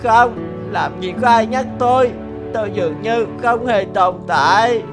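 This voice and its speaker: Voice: mid-range voice, a little slow, music in the background, close to an everyday voice. Someone voicing a plaintive, overworked little clock hand. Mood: self-pitying, hurt. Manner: plaintive, complaining at length. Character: aggrieved clock second hand, weary, self-pitying, overworked. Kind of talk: animated fairy-tale cartoon dubbing.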